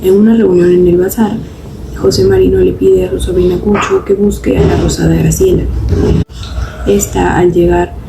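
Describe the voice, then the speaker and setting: A woman's voice: on the low side, steady tone, moderate pace. A woman narrating a scripted plot summary on a noisy amateur recording.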